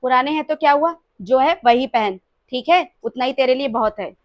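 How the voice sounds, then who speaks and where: A woman voicing a domineering older mother-in-law in a family drama skit, cutting and loud when she scolds. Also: medium pitch, steady tone, normal speed, close to an everyday voice. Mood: scolding.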